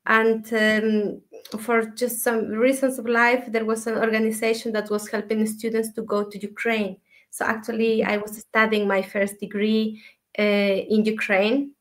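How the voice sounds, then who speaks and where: A woman in her thirties from Ecuador, who shares her story as an interview guest in a soft, reflective way, pausing often with hesitations. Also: fairly high pitch, flat delivery. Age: 30s. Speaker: guest, dream mentor.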